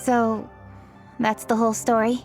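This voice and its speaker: A woman acts a young lady official from an anime game. Her voice sits high, with composed, formal phrasing. She comes across as courteous, dutiful and hardworking. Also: little pitch movement, a little slow, music in the background, natural voice. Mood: reflective. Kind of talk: anime video game voice acting.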